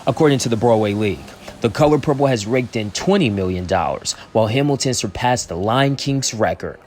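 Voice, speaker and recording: A man's voice: medium pitch, brisk, darkish tone, a little hiss or hum. A man reading scripted narration as a news reporter.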